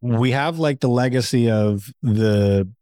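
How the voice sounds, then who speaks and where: A man's voice: mid-range voice, slow pace, mellow, clear, resonant tone, dry recording, studio quality. A man co-hosting a podcast, talking informally in a coaching style, posing questions and answering them himself. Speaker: podcast co-host. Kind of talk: casual conversational coaching talk.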